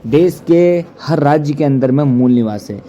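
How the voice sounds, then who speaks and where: A man's voice: medium pitch, moderate pace, dark tone, dull audio, a little hiss or hum. A man presents a political explainer as an activist, speaking earnestly in formal diction. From Uttarakhand, India. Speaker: activist commentator. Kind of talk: political explainer to camera or voice-over.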